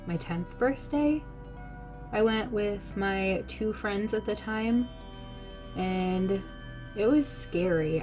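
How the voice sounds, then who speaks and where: A woman's voice: fairly low pitch, a little mellow. A young adult woman answering questions in a casual vlog, chatty with lots of "like" and frequent laughs. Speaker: vlogger.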